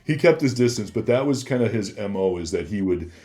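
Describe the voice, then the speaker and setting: A man's voice: on the low side, expressive, a little fast, over a noisy crowd. A man telling anecdotes casually as a podcast guest, acting out remembered exchanges as he goes. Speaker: guest, former celebrity security worker.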